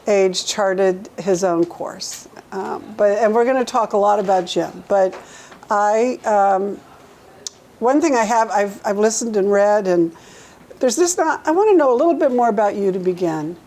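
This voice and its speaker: A woman's voice: medium pitch, a little slow, darkish tone. A woman hosting an interview, asking chatty, leading questions with frequent restarts. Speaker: talk show host. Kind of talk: conversational interview.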